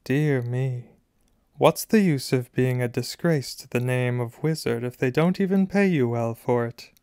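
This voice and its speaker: A man's voice: mid-range voice, measured pace, a little mellow, clear, resonant tone, no room sound, studio quality, close to an everyday voice. A man voicing a malicious upper-class wizard father in a storybook, drawling and mock-polite with cold contempt. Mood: contemptuous.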